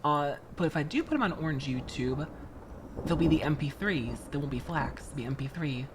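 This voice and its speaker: A chatty streamer talks through a model kit build, jumping between topics and stopping to greet viewers. The voice moves up and down a lot, bright and lively. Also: medium pitch, a little fast, background noise. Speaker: VTuber streamer. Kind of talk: casual live-stream chat while building a model kit.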